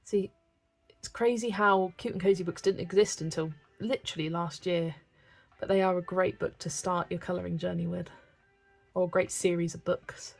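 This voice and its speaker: A woman's voice: fairly low pitch, normal speed, gravelly, soft background music. A woman from England, chatting casually and reading viewer comments while she colours on a livestream. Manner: chatty, relaxed, thinking aloud with small laughs.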